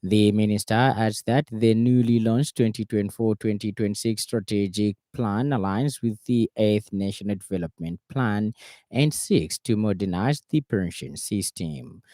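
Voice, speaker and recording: Zambian guy reading the radio news, lowish soft-edged voice, formal, very dry studio sound. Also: moderate pace, dry recording. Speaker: news reader. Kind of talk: scripted radio news bulletin.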